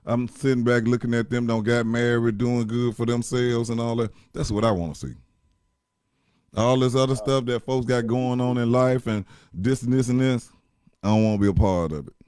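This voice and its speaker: A man with a deep voice gives blunt, profane relationship advice in casual chat. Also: steady tone, normal speed, darkish tone, clear, resonant tone, dry recording, clean studio sound.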